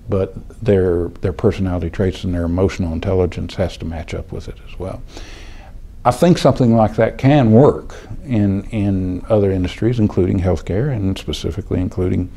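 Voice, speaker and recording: A middle-aged or older American man giving a measured, deliberate explanation in an interview, halting now and then as he phrases things. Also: sits low, creaky, dark tone. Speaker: healthcare administrator, retired air traffic control official.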